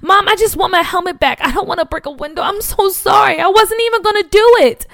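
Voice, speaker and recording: A woman voicing a frightened but honest young boy who pleads in a high, strained voice. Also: quick pace, creaky, a bit bright, no room sound, studio quality, full character voice. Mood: pleading.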